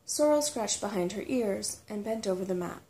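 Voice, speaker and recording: A woman calmly reading a storybook aloud as unhurried ASMR narration. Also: medium pitch, a little hiss or hum. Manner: calm, unhurried.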